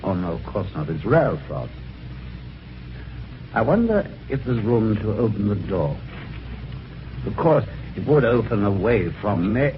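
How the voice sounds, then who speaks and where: An actor playing a well-mannered, slightly dim British husband in an old-time radio mystery, talking in a chatty, hesitant, old-fashioned way. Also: male voice, on the low side, big pitch swings, unhurried, long pauses. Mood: puzzled, reflective. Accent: British English. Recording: dull, faintly noisy vintage radio recording.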